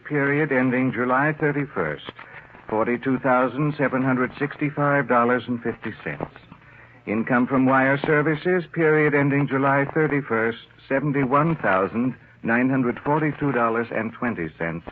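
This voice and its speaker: An actor playing a deferential, precise head accountant in 1940s radio drama, reciting dryly. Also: male voice, mid-range voice, close to an everyday voice. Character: meek, precise male head accountant to a crime boss. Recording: muffled, hissy old radio recording.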